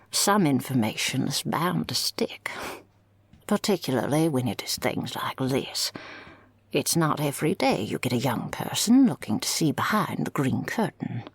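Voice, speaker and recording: Woman playing a low, husky older lady librarian. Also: no room sound, exaggerated voice. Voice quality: husky, creaky. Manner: unhurried endearments, gently formal and folksy. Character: kindly older female librarian, helpful and doting. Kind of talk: scripted audio roleplay acting.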